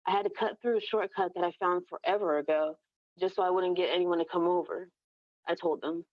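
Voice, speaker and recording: A woman's voice: on the low side, steady tone, brisk. A woman reading her own first-person story aloud as a narrator, in an echoey, muffled recording. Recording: echoey room, dull lo-fi sound.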